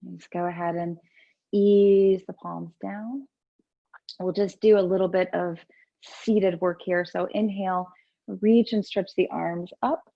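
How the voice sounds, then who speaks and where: A woman yoga teacher leading gentle breath work and movement in a soothing, unhurried way, with pauses between instructions. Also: mid-range voice, gravelly, muffled recording.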